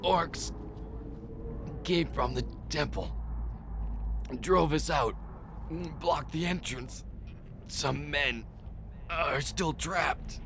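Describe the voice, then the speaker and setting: An actor playing a wounded, delirious soldier in a fantasy game, weak and halting as if in pain. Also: male voice, on the high side, vocal fry, full character voice. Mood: pained, fearful. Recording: loud noisy outdoor game ambience.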